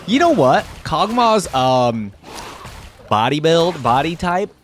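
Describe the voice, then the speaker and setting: A man hosting a casual reaction video, chatting excitedly and thinking aloud with lots of fillers. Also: fairly high pitch, slow, slight background noise.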